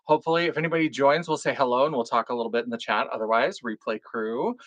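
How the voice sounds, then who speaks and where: A man's voice: mid-range voice, fast talker, a bit bright, no echo, lo-fi sound. A man who performs in drag, hosting a casual live unboxing stream with a froggy, phlegmy throat, chatty and swearing now and then. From North Dakota. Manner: chatty, swears casually, greets chat viewers. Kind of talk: casual livestream chat.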